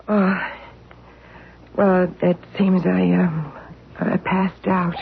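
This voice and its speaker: A dazed, halting housewife, old radio sound. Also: female voice, lowish voice, flat delivery, creaky, slight background noise, full character voice. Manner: hesitant, halting speech. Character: dazed housewife Edith, embarrassed after passing out. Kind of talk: 1970s radio drama acting. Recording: tinny lo-fi old radio broadcast.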